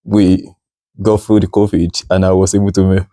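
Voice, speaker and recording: A man's voice: sits low, little pitch movement, moderate pace, warm tone, no echo, studio quality. A man from Nigeria in his thirties, a guest telling his story in a casual interview, hesitant with many fillers and restarts.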